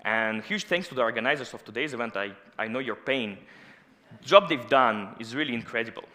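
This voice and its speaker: A man in his thirties, a native Russian speaker, giving a lively conference talk on stage with personal stories, through a headset mic. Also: mid-range voice, brisk. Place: large auditorium stage. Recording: long hall reverb.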